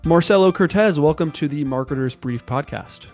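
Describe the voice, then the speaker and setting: A man hosting a marketing podcast, reading a scripted intro with upbeat, clear delivery and then asking interview questions. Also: fairly high pitch, moderate pace, darkish tone, soft background music. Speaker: podcast host and reporter.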